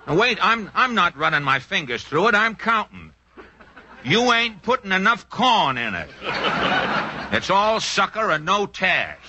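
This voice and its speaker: A noisy, crackly 1940s radio recording carries a blustery, gluttonous older brother who fancies himself a big businessman. His voice is fairly high and lively, insistent and self-important, built for sketch comedy. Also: a little slow, full character voice. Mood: defensive. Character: pompous, gluttonous, scheming older brother turned showbiz manager.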